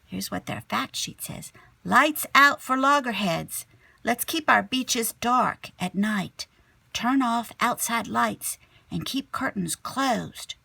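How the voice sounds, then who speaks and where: A woman teacher reading a children's picture book aloud expressively, sometimes dropping to a hushed tone. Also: fairly high pitch, slow, vocal fry, breathy edge, no room sound. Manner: animated storyteller, drops to hushed whispery tones.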